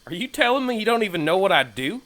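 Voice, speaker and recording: A man's voice: high-pitched, very animated, quick pace, a bit bright, slight background noise. Blunt, plain-spoken man as a waste shoveler. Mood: indignant, blunt. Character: plain-spoken, blunt working-class male sewage shoveler. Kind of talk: audio drama acting.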